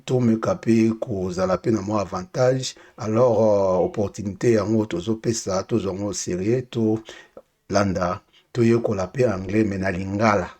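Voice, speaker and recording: A man teaching English phrases, saying each one twice, slowly and clearly, for learners to repeat. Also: mid-range voice, a little mellow. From Democratic Republic of the Congo. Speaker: language teacher. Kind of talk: casual spoken language lesson with repeated phrases.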